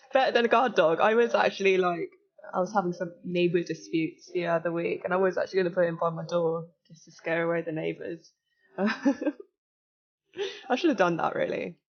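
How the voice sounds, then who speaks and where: A young woman in her twenties, slightly croaky, chatting casually and giggling as she walks viewers through a hacking exercise on a live stream. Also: lowish voice, normal speed, long pauses, dull audio. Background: faint music.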